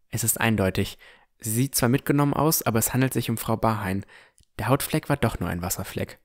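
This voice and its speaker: An actor playing a puzzled but determined young armored swordsman who narrates his fantasy audio drama in a measured, introspective way. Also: fairly low pitch, natural voice. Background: music.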